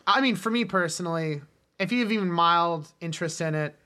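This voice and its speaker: A man's voice: fairly high pitch, brisk, sharp tone. A man co-hosting a film podcast, chatting casually and bluntly. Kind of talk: casual chat.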